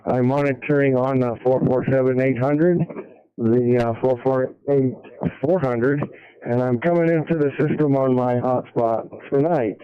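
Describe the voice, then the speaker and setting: A man's voice: mid-range voice, fairly even intonation, measured pace. A middle-aged or older American man chatting casually and easygoing over a ham radio transmission. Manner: easygoing, folksy. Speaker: amateur radio operator checking in. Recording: narrow-band radio hotspot transmission.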